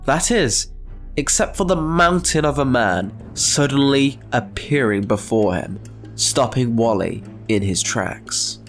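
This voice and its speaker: Male narrator-host for scripted story videos, medium pitch, light music bed. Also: expressive, takes it slowly. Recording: faint music behind the voice.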